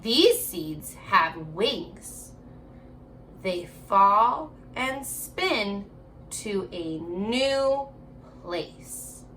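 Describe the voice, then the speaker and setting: A woman's voice: medium pitch, animated, drawn-out words, fairly bright tone, slight background noise, poor audio. A woman elementary teacher giving a children's reading lesson, encouraging and asking questions as she speaks directly to young pupils. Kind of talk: children's remote reading lesson, alternating slow read-aloud and chatty prompts.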